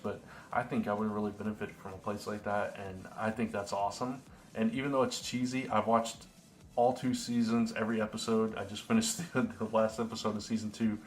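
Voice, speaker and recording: A slightly hoarse young man talking casually to camera in a vlog, earnest and rambling with lots of fillers. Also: on the low side, a bit bright. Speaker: vlogger.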